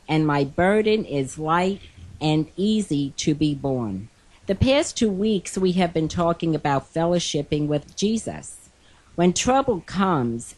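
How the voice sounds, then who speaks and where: Hoarse older woman reading scripture gently. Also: low-pitched. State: hoarse from a cold. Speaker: co-host.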